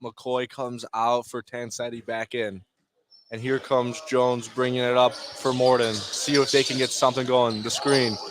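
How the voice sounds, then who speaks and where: A man's voice: medium pitch, little pitch movement, a little slow. A man doing live radio play-by-play of a basketball game in short, clipped phrases. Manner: clipped, terse running call in short phrases. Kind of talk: live sports radio commentary. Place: indoor high school basketball game. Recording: clear background noise of a gym.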